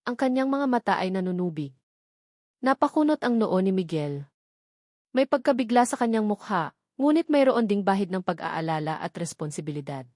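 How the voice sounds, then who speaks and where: A woman's voice: mid-range voice, animated, normal speed, a little mellow, no echo, studio quality. A woman narrating a scripted dramatic story.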